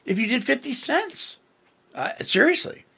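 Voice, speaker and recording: Gravelly husky man hosting a radio show. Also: extremely high pitch, sing-song, bright, forceful tone. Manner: rambling, halting with fillers. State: fighting a cold, voice going. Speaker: radio host. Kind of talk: casual talk radio chat.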